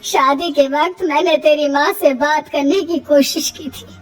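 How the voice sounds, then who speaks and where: Cartoon mother-in-law, woman performer: older, gentle, downtrodden, high register, music underneath. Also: steady tone, unhurried, far from the mic. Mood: tearful. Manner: pleading, motherly.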